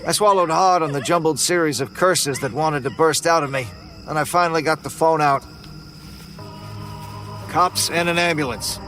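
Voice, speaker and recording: Man, horror narrator, tense measured delivery, slightly high voice, faint music. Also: fairly bright tone. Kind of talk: first-person horror narration.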